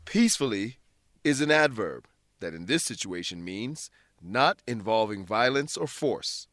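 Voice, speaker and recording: A man's voice: lowish voice, a bit bright, no echo, clean studio sound. A man giving scripted educational narration as a host and English teacher, in a didactic, carefully enunciated manner.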